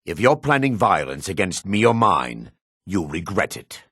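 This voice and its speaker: An actor playing a proud, hard-edged male dark elf in a fantasy game, with a husky voice and a cool, self-assured manner. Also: very animated, normal speed, dry recording, clean studio sound, heavily acted. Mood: guarded. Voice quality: husky, low. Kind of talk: fantasy game character lines.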